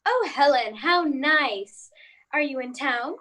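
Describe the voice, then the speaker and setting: A woman's voice: sits high, lively intonation, slow pace, sharp tone, heavily acted. A woman playing a polite, indulgent housewife and mother in a radio play. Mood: warm, polite.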